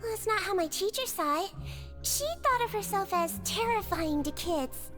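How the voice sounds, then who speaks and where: Gentle, high-pitched little nurse creature. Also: female voice, lively intonation, moderate pace, music in the background. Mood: wistful. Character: gentle, sly little female nurse of a nonhuman kind. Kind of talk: scripted game voice acting.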